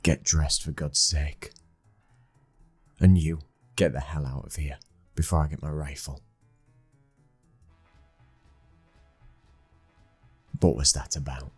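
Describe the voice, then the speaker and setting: A British man acting a commanding mafia boss's son, a stern but loving husband, in an intimate ASMR roleplay with a husky voice. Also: extremely low pitch. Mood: stern, exasperated.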